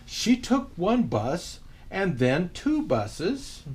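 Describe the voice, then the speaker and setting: A man reading a children's picture book aloud as a storyteller, expressive and unhurried. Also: high voice.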